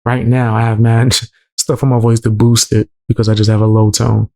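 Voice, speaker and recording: A man with a low voice hosting a livestream, chatting in a laid-back way with viewers. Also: flat delivery, normal speed, creaky, dark tone. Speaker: livestream host. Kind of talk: casual livestream chat.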